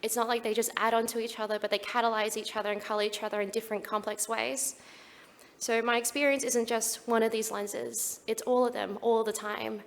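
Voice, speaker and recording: Flat-toned young Australian woman in a hall. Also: a little high, fairly quick, vocal fry. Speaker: conference speaker. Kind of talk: prepared conference talk.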